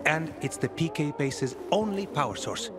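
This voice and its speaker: An actor playing a loyal, protective grown son in a video game. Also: male voice, fairly high pitch, animated, normal speed, very noisy, natural voice. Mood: earnest. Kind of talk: video game character acting.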